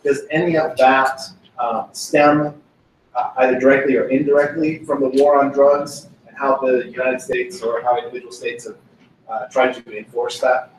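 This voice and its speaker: A man in the audience posing a deliberate question at a panel discussion. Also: medium pitch, fairly even intonation. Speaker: audience member asking questions. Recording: very echoey room from a distance, faint noise.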